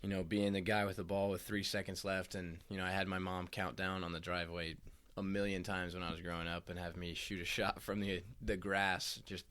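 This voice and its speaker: A young man, a college basketball player, answering interview questions in a halting way, often repeating words as he goes. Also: deep voice, level tone, a bit bright. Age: young adult.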